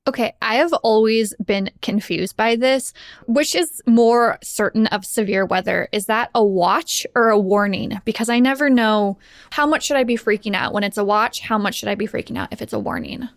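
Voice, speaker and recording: A woman's voice: fairly high pitch, normal speed, dry recording, studio quality. A woman chatting casually as a panelist on a podcast. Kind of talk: casual chat.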